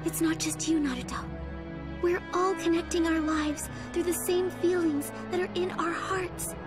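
A woman playing a gentle, earnest, quietly resolute young ninja woman in an anime game dub. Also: very high-pitched, steady tone, measured pace. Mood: earnest, tender. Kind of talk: dubbed anime game acting. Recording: loud music under the voice.

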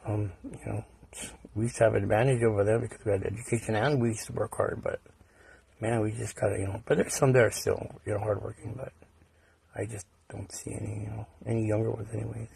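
Raspy, gravelly low-voiced man. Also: level tone, darkish tone, rough recording. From United States. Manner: halting and repetitive, with rhetorical questions. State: hoarse after a recent stomach virus. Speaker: video narrator. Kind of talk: casual rambling commentary.

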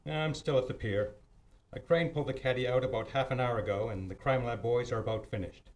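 An actor playing a teasing, cocksure police homicide detective in an old-time radio mystery, with smug, clipped delivery. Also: male voice, medium pitch, brisk, darkish tone, close to an everyday voice, poor audio. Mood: matter-of-fact. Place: theatre stage, live performance. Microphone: stand mic on stage.

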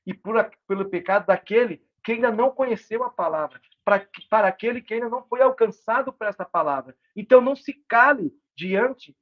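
A man's voice: high-pitched, expressive, fairly quick, few pauses. A man in his fifties giving an earnest short devotional on a video call from his home, with a repetitive preaching cadence. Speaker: lay preacher. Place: home room with large windows. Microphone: laptop webcam mic on a video call. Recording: dull lo-fi video call audio.

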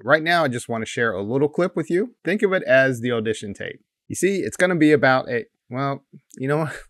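A man's voice: medium pitch, expressive, a little fast, a bit bright, dry recording. A man in his forties, a playful, folksy host giving a casual introduction close on a studio mic. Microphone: large studio mic close in front.

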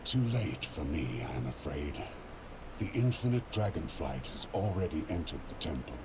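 Deep rumbling weary dragon lord. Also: male voice, sing-song. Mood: resigned. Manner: solemn, measured. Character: grave, weary, noble male dragon leader. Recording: noisy game audio with ambience.

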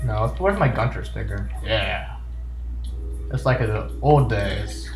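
A man's voice: on the low side, takes it slowly. Roomy-sounding man joking over game music. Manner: jokey, playfully sarcastic asides. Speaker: video game player and commentator. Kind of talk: casual gameplay commentary. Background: video game music.

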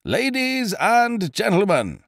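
A man voicing a nervous, pompous male theatre announcer who calls out loudly and drops his H's. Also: wildly swooping pitch, creaky, dry recording, clean studio sound, full character voice. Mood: flustered announcing. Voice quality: loud, high and drawn-out, dropping his H's.

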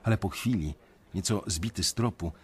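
A young banker telling his own story in a radio drama. His voice is fairly low, his delivery measured, introspective and uneasy. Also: husky. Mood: reflective. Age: young adult. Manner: measured, reflective. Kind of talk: first-person radio drama narration.

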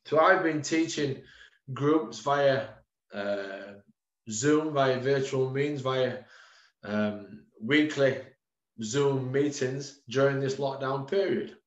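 A man's voice: mid-range voice, takes it slowly. A man in his thirties, a strength and conditioning coach, giving an informal webinar presentation over a video call, with frequent ums, calling his listeners guys. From United Kingdom. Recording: roomy, dull lo-fi webcam audio.

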